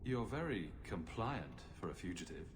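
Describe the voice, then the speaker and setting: An actor playing a wary, plain-spoken champion in a fantasy video game, speaking in a matter-of-fact, skeptical way. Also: male voice, deep voice, very animated, normal speed, music in the background. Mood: skeptical, dry. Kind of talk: video game character acting.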